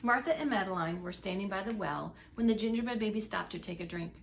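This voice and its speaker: A woman with a medium-pitched voice reads a children's story aloud in a teacherly way. She stresses words expressively and speaks directly to her young listeners. Faint ambient noise sits behind her. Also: fast talker, vocal fry. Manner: emphatic storytelling with asides to listeners. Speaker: preschool teacher reading aloud.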